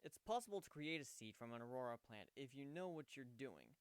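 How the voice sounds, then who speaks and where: A man voicing a gentle, wry male pegasus pony who speaks matter-of-factly in a story reading. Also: medium pitch, animated, fairly quick, clean tone, dry recording, lightly acted. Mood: explaining.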